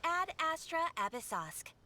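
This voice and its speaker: A woman voicing a polite, composed guild receptionist in a video game, speaking formally and in measured phrases. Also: very high voice, sing-song. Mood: polite, businesslike. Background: game music.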